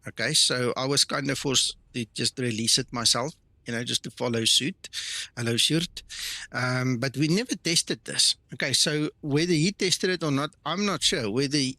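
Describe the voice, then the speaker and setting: Male streamer, non-native English speaker; bright, dry delivery; casual chat with viewers. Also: mid-range voice, normal speed, no room sound. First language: not English. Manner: casual and chatty, greets viewers by name, many fillers and restarts. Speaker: flight sim streamer. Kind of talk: live stream chat, reading and answering viewer comments.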